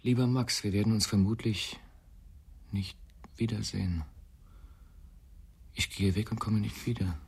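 An actor playing an earnest, troubled man, raspy and halting, in a radio drama. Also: fairly low pitch, fairly even intonation, a little mellow, slight background noise. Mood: solemn, moved. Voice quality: raspy, gravelly. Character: earnest, troubled man reading a farewell letter aloud.

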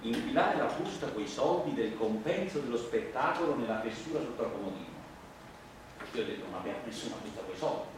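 A roomy, noisy stage recording, heard from a distance. A man plays a naive street actor in a farce, flustered and insistent, repeating himself in halting bursts with a lively, swinging pitch. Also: close to an everyday voice. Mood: insistent, confused. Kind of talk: farcical stage dialogue.

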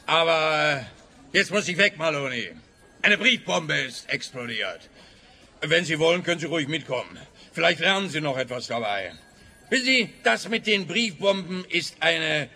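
A man acting a chatty, self-satisfied, bumbling police detective in a comic radio play, rambling pompously and haltingly. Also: fairly high pitch, sing-song, bright, forceful tone, soft background music, heavily acted. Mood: self-important.

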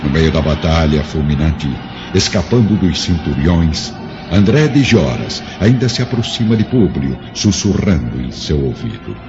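A man narrating a radio play in a grave, measured, literary storytelling manner. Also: a bass voice, lively intonation. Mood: grave, dramatic. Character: solemn male storyteller of an ancient Roman tragedy. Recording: dull lo-fi audio with music behind.

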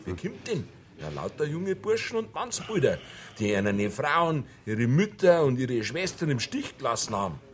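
An actor playing an indignant, opinionated Bavarian pub regular in a radio crime play, ranting bluntly in rustic dialect. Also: male voice, fairly high pitch, big pitch swings, normal speed, slight background noise.

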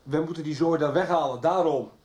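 An actor playing an uneasy, cautious accomplice in a 1990s radio soap. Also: male voice, a little high, fairly quick, a little mellow, natural voice. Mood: worried, urgent.

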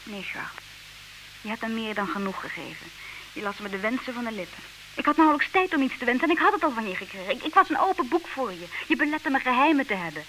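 An actress playing a proud, disillusioned wife in an old radio play, speaking in measured, reproachful, theatrical diction. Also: female voice, on the high side, sing-song, a bit bright, low-quality recording. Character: disillusioned, proud wife leaving her clever husband. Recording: hissy old radio recording, clear noise.

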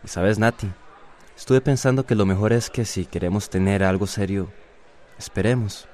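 An earnest teenage boyfriend played by a man in a radio drama. His voice is on the low side, with a Costa Rican accent and a considerate manner. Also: fairly even intonation, moderate pace, darkish tone, close to an everyday voice.

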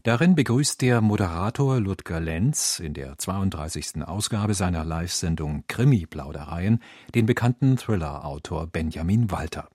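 A man with a husky voice presenting a scripted programme preview as a radio announcer. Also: deep voice, lively intonation, moderate pace, darkish tone, no room sound, clean studio sound.

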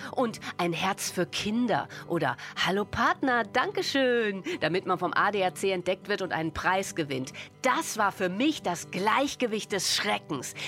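A woman's voice: medium pitch, fairly bright tone. A woman playing an opinionated, scatterbrained band member who talks flippantly in a satirical radio drama interview.

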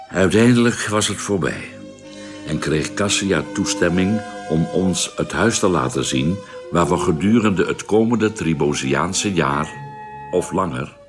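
An actor playing a weary ship's doctor who narrates a space voyage in a measured, formal radio drama style. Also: male voice, deep voice, heavily acted. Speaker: narrator and character in a radio play.

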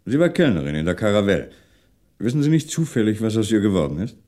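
A shrewd police commissioner in a 1960s German radio crime play. His voice is low and mellow, and it moves widely in pitch as he questions deliberately, leaving pointed pauses. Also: very animated. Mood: quietly menacing. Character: shrewd, probing male French police commissioner.

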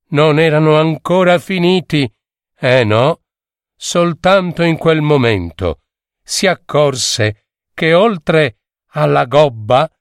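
A man narrating a fairy tale from a script with expressive, theatrical delivery. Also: fairly high pitch, no echo, clean studio sound. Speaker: storyteller.

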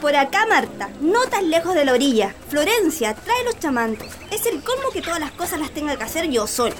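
A woman's voice: high voice, sing-song, fairly quick. A woman playing a bossy, grumbling hacienda servant with a Chilean accent in a radio drama.

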